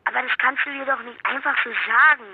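A woman's voice: sits high, steady tone, fast talker, vocal fry, husky, exaggerated voice. Boy on a tinny phone. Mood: indignant. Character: excitable ordinary young boy, brother. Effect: telephone filter. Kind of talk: children's radio play acting. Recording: tinny, hissy phone line.

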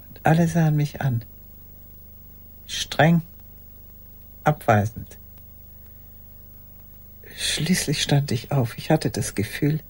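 A very husky, breathy old woman remembers in a radio drama monologue. She speaks slowly and deliberately, courteous yet haunted. Her pitch rises and sinks widely, often thinning toward a whisper. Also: a little mellow, heavily acted. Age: older. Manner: deliberate, reflective, halting. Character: old woman recalling a secret early love, haunted, courteous.

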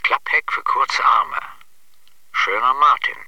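A man's voice: mid-range voice, expressive, takes it slowly, sharp tone, slightly rough, dry recording. A man delivering short repeated phrases like slogans in an audio collage. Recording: distant dry radio-like sound, faint music. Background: faint music.

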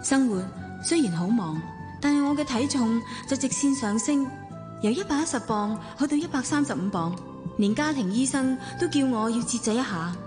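An actress playing a troubled wealthy wife and devoted mother in a Hong Kong Cantonese radio drama, earnest and expressive. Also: on the high side, normal speed, in a large hall, over music, natural voice. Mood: contented. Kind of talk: Hong Kong radio drama acting and first-person narration.

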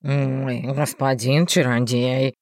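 A woman's voice: rumbling low, fairly even intonation, slow pace, darkish tone, no room sound, voice pushed down. A woman voicing a groveling male werewolf with a nasal, whiny, tearful voice, in a dry recording. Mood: whining. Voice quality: nasal, whining.

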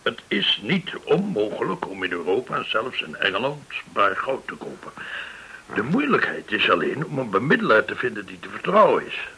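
A man's voice: fairly low pitch, wildly swooping pitch, normal speed, sharp tone, exaggerated voice, poor audio. An actor in a radio play playing a courteous, shrewd male go-between, formal, learned and insinuating. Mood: courteous, probing. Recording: hissy old radio recording.

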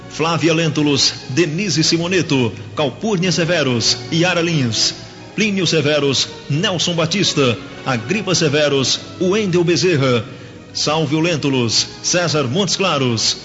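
Over prominent music, a Brazilian man announces radio credits formally. His voice is fairly high and his reading emphatic and measured. The recording is a little dull. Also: a bit bright.

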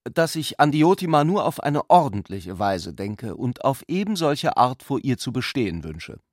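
A man's voice: medium pitch, very animated, moderate pace, dry recording, clean studio sound. A man voicing a small, stout army general who speaks formally and earnestly in a literary radio play. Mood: earnest, dignified.

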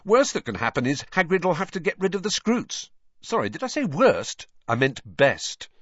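A man's voice: on the high side, very animated, normal speed, a bit bright, slightly rough, no room sound, voice pushed up, full character voice. A man doing a wry, consoling teenage boy. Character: joking teenage schoolboy. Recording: slightly dull, dry studio audio.

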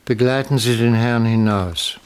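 Man playing a cold syndicate chief giving orders, mid-pitched, curt and formal, slightly noisy vintage radio drama. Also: fairly even intonation, unhurried, natural voice. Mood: cold, commanding. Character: cold, commanding crime syndicate boss in his office. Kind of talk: 1960s German radio play acting.

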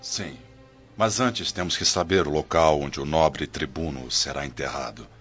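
Casting: grave Roman elder, extremely deep and husky, Brazilian accent, radio drama style. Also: male voice, measured pace, fairly bright tone, heavily acted. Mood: grave, practical. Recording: dull lo-fi radio drama over music.

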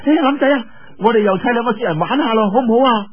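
A man's voice: very high-pitched, fast talker, breathy edge, lo-fi sound, pitched above the performer's own voice. A man voicing a playful, willful young woman in an audiobook, coquettish and whining as he pouts and teases.

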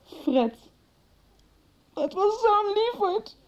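A woman's voice: extremely high pitch, lively intonation, drawn-out words, darkish tone, muffled recording, a little hiss or hum, heavily acted. An actress in a 1990s radio soap playing a blunt, resentful young woman. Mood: wistful, resentful.

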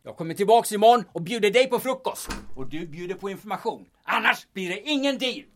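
A man's voice: high voice, lively intonation, background noise. En man som spelar en fräck, hotfull utpressare i ett ljuddrama, retsam men kontrollerad. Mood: threatening. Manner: taunting, threatening, controlled. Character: menacing, insolent blackmailer from Stockholm, coolly bargaining. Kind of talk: audio drama acting.

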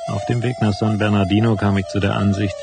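A man playing a hard-boiled private detective in a noir radio drama, with a gravelly, husky voice and a dry, laconic delivery. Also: fairly low pitch, level tone, fast, loud music behind the voice. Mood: dry, reflective. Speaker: first-person narrator and lead character.

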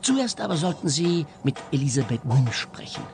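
A man's voice: a little high, big pitch swings, normal speed, background noise. A man playing a fearful, worried husband in a radio play, speaking earnestly and precisely. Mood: worried, insistent. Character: anxious, fearful husband, a detective's client.

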